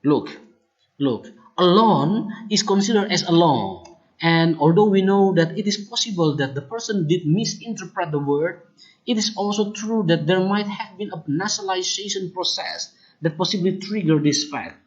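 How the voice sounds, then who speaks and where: A speaker with an Indonesian accent whose first language is Kupang Malay, presenting research findings in a deliberate, explanatory way. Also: mid-range voice, animated, lo-fi sound. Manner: deliberate and explanatory, often starts points with "look" or "now". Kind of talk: academic presentation on language errors.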